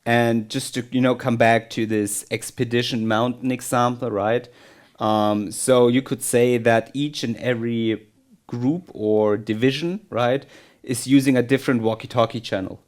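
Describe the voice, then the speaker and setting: A man with a middle-pitched voice hosts a business podcast in a conversational way. He explains his points step by step and often adds a quick check-in to the listener. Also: fairly even intonation. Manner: explanatory, frequently checks in with "right?". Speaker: podcast host.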